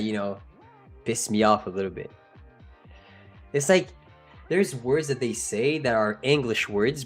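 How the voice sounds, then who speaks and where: A young man from Montreal with a Montreal French-Canadian accent, chatting casually and rambling as a livestream host, laughing often and talking to his chat. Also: medium pitch.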